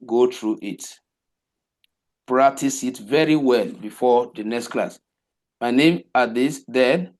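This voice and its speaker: A man teaching a coding class, explaining each step slowly and repeating himself, often asking rhetorical questions. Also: mid-range voice, a little mellow. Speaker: web development teacher. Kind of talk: live coding class walkthrough.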